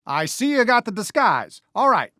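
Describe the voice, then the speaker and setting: A man voicing a friendly, earnest, excitable cartoon cowboy sheriff for a video game, with an American accent and a folksy drawl full of cowboy slang. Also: sits high, normal speed, no echo, clean studio sound, heavily acted. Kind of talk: animated game character voice lines.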